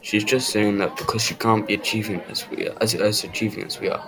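A man's voice: on the low side, little pitch movement, fast talker, crowd noise, natural voice. A young male student playing a defensive, stubborn teenage basketball player in an audio drama.

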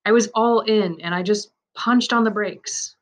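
A woman narrating a man's first-person story aloud, in a measured, reflective and earnest way. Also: mid-range voice.

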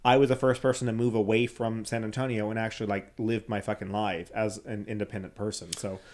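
A man's voice: on the low side, level tone, quick pace, darkish tone. Young man chatting candidly on a podcast. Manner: candid, laughing, swears casually. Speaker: podcast co-host. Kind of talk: casual chat.

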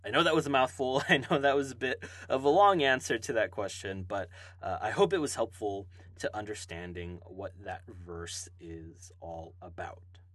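A man's voice: lowish voice, moderate pace, a bit bright, dry recording. A man from Hawaii hosting a podcast, answering listener Bible questions in an earnest, explaining way with some fillers.

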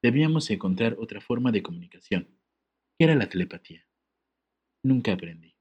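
A man's voice: on the low side, expressive, fairly quick, darkish tone, breathy edge, lo-fi sound. A man co-hosting a podcast, reading a letter aloud and breaking off into jokes and laughter. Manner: joking, laughs often, reads with comic asides. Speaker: podcast co-host.

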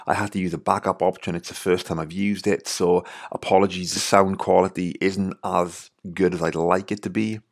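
Welsh guy hosting a podcast, deep voice, pretty monotone, rambles with lots of you knows. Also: moderate pace, hardly any pauses. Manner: rambling, full of "you know" and restarts. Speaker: podcast host. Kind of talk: casual podcast intro monologue.

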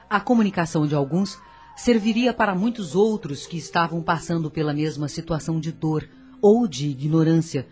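Solemn woman narrating over music. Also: low voice. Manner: solemn, formal storytelling. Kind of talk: scripted radio drama narration.

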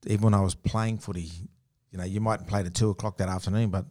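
A man's voice: on the low side, flat delivery, fast, a little mellow. A man with an Australian accent hosting a casual podcast chat, laughing easily and restarting his sentences. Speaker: podcast host.

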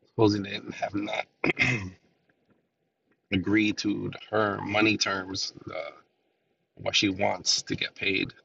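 A man's voice: lowish voice, little pitch movement, slow, creaky, long pauses, pushed and bright, recorded from a distance, a little hiss or hum. A man hosting a podcast alone, rambling casually with lots of filler words, his voice nasal from a lingering cold. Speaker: podcast host. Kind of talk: casual solo podcast talk. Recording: dull lo-fi, a bit off-mic.

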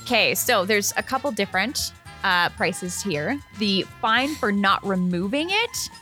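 A woman's voice: mid-range voice, animated, unhurried, sharp tone, faint music behind. A Canadian woman co-hosting a casual chat and quiz, informal and playful in manner. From Calgary, Canada. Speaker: co-host.

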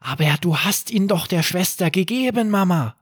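A man's voice: high-pitched, expressive, normal speed, slightly rough, dry recording, studio quality, voice pushed up. A man voicing an earnest, inquisitive little boy who plays detective in a children's bedtime story. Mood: insistent. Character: bright, serious little boy playing detective.

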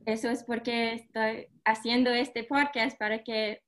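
Halting woman host searching for words. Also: on the high side, fairly even intonation, a bit bright. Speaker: podcast host. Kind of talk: casual conversation in learned Spanish.

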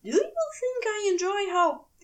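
A woman's voice: extremely high pitch, very animated, measured pace, far from the mic, voice pushed up, exaggerated voice. A woman voicing a polite, anxious male angel with prim, careful phrasing. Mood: exasperated.

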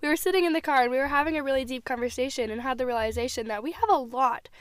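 A young woman co-hosting a casual podcast from a parked car, hoarse from a cold, chatty, laughing and restarting her words. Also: high-pitched, racing, no room sound. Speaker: podcast co-host. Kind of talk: casual chat.

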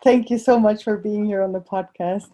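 Mellow-voiced enthusiastic podcast host woman. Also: mid-range voice, a little slow, slight background noise. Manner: enthusiastic, laughs often. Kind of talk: podcast interview.

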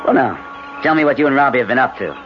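An actor in a 1970s radio drama playing a sceptical, teasing husband with a bantering, exasperated manner. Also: male voice, mid-range voice, lively intonation, fast talker, over music, close to an everyday voice. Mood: casual. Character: sceptical, teasing husband back from a business trip. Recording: dull noisy 1970s radio broadcast.

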